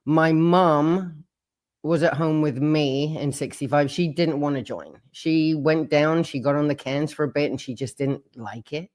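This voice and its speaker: Man, 60s, slightly high pitch, frank testimony. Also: measured pace, a little mellow. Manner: plain, frank storytelling. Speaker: former member telling his story. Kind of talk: personal testimony.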